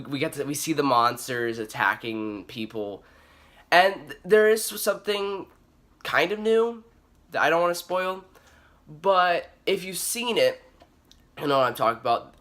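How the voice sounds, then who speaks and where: A man with a raspy voice giving a casual, opinionated movie review in an emphatic, exasperated way. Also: fairly high pitch, sharp tone.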